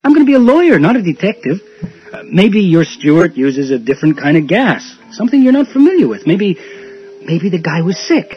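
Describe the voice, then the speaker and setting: Young joker husband in a radio play, lively swoopy voice, teasing and skeptical, dull lo-fi sound. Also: male voice, high-pitched, fairly quick, faint music behind. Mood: teasing, dismissive. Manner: flippant, mock-grand teasing. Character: playful, sceptical young husband studying law. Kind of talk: radio drama acting. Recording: dull lo-fi old radio broadcast.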